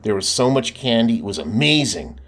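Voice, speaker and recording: A man reading a children's storybook aloud in a lively way, often stopping to ask the listener questions. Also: medium pitch, moderate pace, faint music behind. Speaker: storybook reader. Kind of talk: children's book read-aloud.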